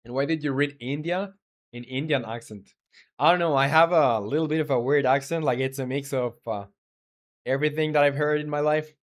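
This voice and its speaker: Halting man thinking aloud on stream. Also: medium pitch. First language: Spanish. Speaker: game developer streaming live. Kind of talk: casual live-stream chat and coding talk.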